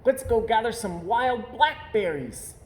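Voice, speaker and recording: Man putting on a high, pushy little girl bear. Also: lively intonation, a little slow. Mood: eager, bossy. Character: bossy, impatient young girl bear cub. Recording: roomy echo, faint background noise.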